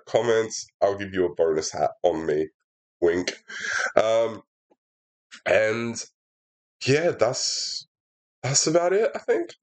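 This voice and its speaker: This is a young man hosting a relaxed puzzle walkthrough while his sinuses are stuffed up. His voice sits slightly low and comes through a laptop's built-in mic at his desk. He talks through every move casually, with plenty of "uh" and "um". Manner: chatty and relaxed, many fillers, explains steps aloud. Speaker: puzzle setter and walkthrough host. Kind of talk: casual sudoku solving walkthrough. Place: indoor room at a desk.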